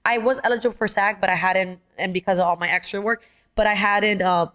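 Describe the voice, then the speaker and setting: Fast-talking young woman telling a story. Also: mid-range voice. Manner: quick, animated storytelling that acts out quoted dialogue. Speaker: guest, actress and singer. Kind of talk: casual conversational storytelling.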